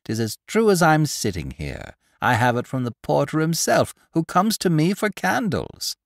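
A chattering, stout old shopwoman who swoops high and wide as she spreads gossip, as a male reader puts her on. Her exclamations tumble out in a dry, close, dead-sounding read. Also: big pitch swings, normal speed, gravelly, no room sound. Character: stout gossipy older woman shopkeeper. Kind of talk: audiobook character reading.

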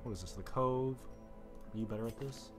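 A man's voice: mid-range voice, a little fast. A man giving casual, chatty let's play commentary, thinking aloud and joking at himself. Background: game music.

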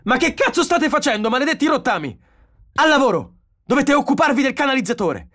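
A male narrator acts out a hot-headed space engineer in a science fiction audiobook. He shouts in a raised, strained-high pitch, scornful and crude, swinging widely in tone. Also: big pitch swings, fairly quick, heavily acted. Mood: furious. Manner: roaring, shouting, sarcastic. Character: hot-tempered, foul-mouthed space station engineer man.

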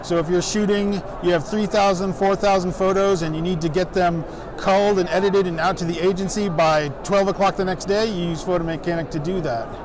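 A middle-aged man at a trade show booth explaining his company's photo software, talking steadily with frequent "um" fillers. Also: high voice, steady tone. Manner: brisk explaining, many "um" fillers. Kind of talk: trade show product pitch. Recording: noisy trade show floor. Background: crowd chatter of the show floor.

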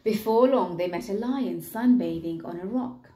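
A woman's voice: medium pitch, normal speed, mellow. A young adult woman, a teacher narrating a children's storybook expressively. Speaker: teacher reading to pupils. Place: small room indoors, at a table. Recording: roomy home recording.

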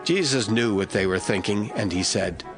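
A man's voice: lowish voice, moderate pace, over music. A man giving a scripted, dramatic narration of scripture as the narrator of an audio drama.

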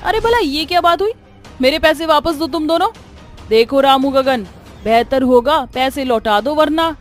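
A woman's voice: sits high, normal speed, soft background music, voice pushed up, close to an everyday voice. A woman voicing a brusque, money-minded village toilet attendant, curt and dismissive, in a cartoon story. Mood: indignant. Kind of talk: Hindi cartoon horror-comedy voice acting.

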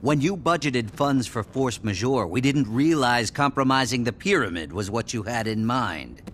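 A man voices a stern company director in a game cutscene. His speech is formal and measured, with marked intonation, over faint rumble. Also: animated, fairly bright tone, close to an everyday voice. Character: stern, formal male corporate board member. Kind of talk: video game cutscene acting.

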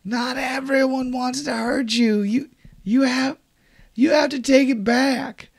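A man voicing a desperate old woman who pleads with a monster, rising to shouts. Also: very high voice, fairly even intonation, slow pace, creaky, pitched above the performer's own voice, full character voice. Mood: desperate pleading.

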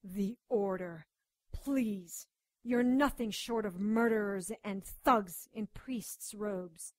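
A woman's voice: mid-range voice, slow pace, dry recording, natural voice. A woman playing a feisty, outspoken doctor who also narrates her supernatural case in a radio drama. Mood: defiant. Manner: defiant, outspoken. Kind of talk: radio drama acting with first-person narration.

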